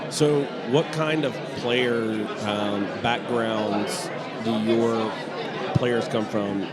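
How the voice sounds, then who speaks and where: A man hosting a casual interview, talking in a halting way with many fillers and restarts. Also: medium pitch, fairly even intonation. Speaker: podcast host. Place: busy bar at a convention. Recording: loud crowd chatter and music behind.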